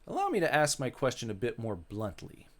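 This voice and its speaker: A man voicing a blunt, sceptical woman interviewer in a visual novel, with measured delivery. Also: medium pitch, wildly swooping pitch. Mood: probing. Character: dry, probing woman interviewer questioning a ghost.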